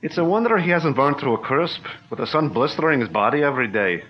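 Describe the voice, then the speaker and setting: A man's voice: medium pitch, big pitch swings. An actor playing a blunt, hot-tempered male sidekick, in a 1940s radio drama style. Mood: gruff. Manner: blunt, hearty, quick to anger. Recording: dull lo-fi old radio sound with faint music.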